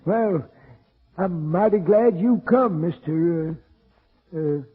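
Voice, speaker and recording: An actor playing a gullible, folksy old man who stammers in a cracked, raspy voice, from a tinny old radio drama. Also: high-pitched, slow pace, full character voice. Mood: excited, gleeful. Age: older. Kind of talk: old-time radio character acting.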